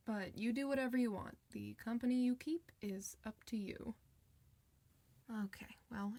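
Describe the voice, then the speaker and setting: A woman acting as a kind clinician in an ASMR roleplay. She is soft-spoken and reassuring, with a chatty bedside manner and a medium-pitched voice, talking straight to her patient. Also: gravelly, natural voice. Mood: protective, casual. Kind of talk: ASMR medical roleplay addressed to the listener.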